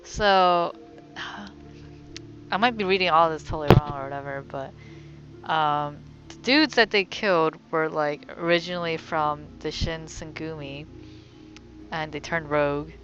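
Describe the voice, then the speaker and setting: A woman's voice: deep voice, measured pace. A woman giving casual let's-play commentary as the host of a gaming video. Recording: slightly dull, lo-fi voice track. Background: game music.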